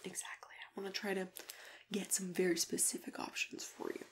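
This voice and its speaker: Hushed, crackly-voiced woman doing ASMR roleplay. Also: medium pitch, flat delivery. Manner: gentle, hushed, unhurried, drifting into whispers. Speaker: ASMR roleplay host playing a matchmaker. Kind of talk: ASMR roleplay, soft-spoken chat with whispering. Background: computer keyboard typing and clicking.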